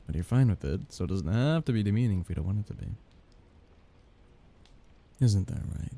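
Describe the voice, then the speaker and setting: A man playing a possessive, doting young mafia heir in an intimate ASMR romance roleplay, soft-spoken and halting, with breathy chuckles. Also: fairly low pitch, lively intonation. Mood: tender, teasing.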